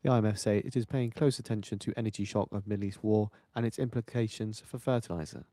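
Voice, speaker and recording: A man reading terse financial news headlines in a flat, measured way. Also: fairly low pitch, dry recording, studio quality. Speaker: market news reader.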